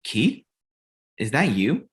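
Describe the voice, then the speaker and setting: A man's voice: medium pitch, wildly swooping pitch, takes it slowly. A man playing an anxious, bewildered young roommate in a comedy table read. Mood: frightened.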